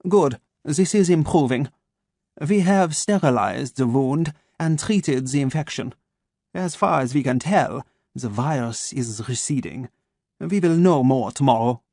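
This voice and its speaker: Clinical German scientist, middle-aged, measured and menacing, read by a male narrator; dry booth. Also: mid-range voice, animated, mellow, no echo. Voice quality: crisp, clipped. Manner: slow, measured, coldly formal.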